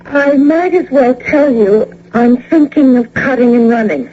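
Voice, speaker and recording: An actress playing a polite, downcast young widow in a radio drama, speaking earnestly and hesitantly. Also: female voice, high-pitched, a little slow. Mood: wistful. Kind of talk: old-time radio drama acting. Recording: dull, noisy radio drama recording with hum.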